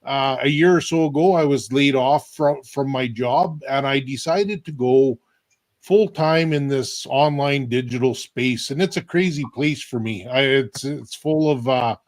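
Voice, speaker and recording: A man's voice: mid-range voice, a little slow, no room sound. Middle-aged man hosting a video chat. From Canada. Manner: rambling, repeats words and restarts. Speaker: host. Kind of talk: casual group video chat.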